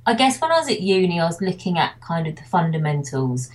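A woman's voice: lowish voice, very animated, a little fast. A woman from England, a wedding photographer, talking casually in an interview and rambling with many restarts.

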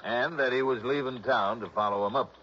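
An actor playing a folksy, drawling small-town police captain in a 1960s radio drama. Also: male voice, medium pitch, a little fast, sharp tone, a little hiss or hum, close to an everyday voice. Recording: dull old radio broadcast.

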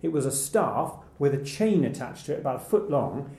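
A man's voice: mid-range voice, very animated, brisk, dark tone. Enthusiastic man in an echoey room. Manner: enthusiastic, jokey. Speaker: podcast co-host. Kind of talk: casual chat about choral music. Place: office room.

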